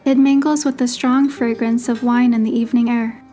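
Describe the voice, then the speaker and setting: Woman as high-voiced gentle young romantic heroine. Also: flat delivery, moderate pace, natural voice. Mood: gentle narration. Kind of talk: scripted first-person narration and dialogue. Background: background music with guitar.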